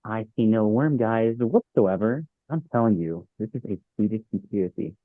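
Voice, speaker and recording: A man's voice: lowish voice, moderate pace, dry recording, close to an everyday voice. Dull-miked, sarcastic wisecracking young man, acted by a man. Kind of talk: sitcom table read. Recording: dull, lo-fi, dry microphone.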